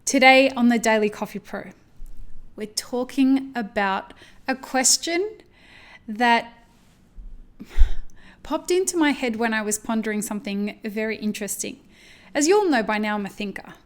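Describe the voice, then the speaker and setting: A woman with an Australian accent hosts a solo podcast, speaking in a slightly high voice. She thinks aloud in a candid, reflective, rambling way and swears now and then. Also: normal speed, long pauses. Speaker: podcast host.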